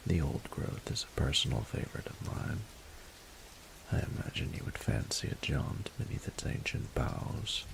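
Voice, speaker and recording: Man acting as a rumbling, gravelly, seductive dragon lord. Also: noisy background, exaggerated voice. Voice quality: very deep, gravelly, breathy half-whisper. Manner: slow, formal, archaic, seductive phrasing. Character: courtly, flirtatious adult male dragon in human form. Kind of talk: scripted romantic audio roleplay.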